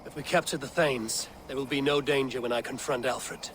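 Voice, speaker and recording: An actor playing a fierce, vengeful Norse war leader in a video game, commanding and solemn. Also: male voice, medium pitch, fairly even intonation, normal speed, fairly bright tone, a little hiss or hum, lightly acted. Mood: grim, resolute. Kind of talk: dramatic video game acting.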